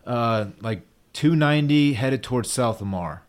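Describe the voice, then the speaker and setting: A man's voice: mid-range voice, measured pace. A man hosting a casual podcast, telling stories with eager, jokey energy. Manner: animated storyteller, eager and jokey. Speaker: podcast host.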